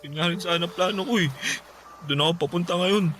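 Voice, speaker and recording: A man's voice: high-pitched, a little fast, fairly bright tone, slight background noise. An actor playing a sorrowful young male friend in an emotional radio drama, with a Filipino accent. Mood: somber, resigned.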